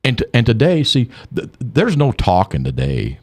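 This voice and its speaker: An older man, a guest on a radio talk show, telling stories in an animated way and repeating words as he gets going. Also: mid-range voice, moderate pace. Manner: animated storyteller, repeats words when excited. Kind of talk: radio interview.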